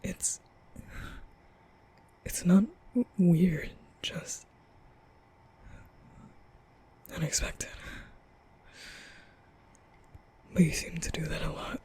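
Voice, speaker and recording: A man playing a nervous, gentle young vampire in an intimate ASMR roleplay, speaking hesitantly in a breathy half-whisper. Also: on the high side, fairly even intonation, close to an everyday voice. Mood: soft, reassuring. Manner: hesitant, stammering, slow.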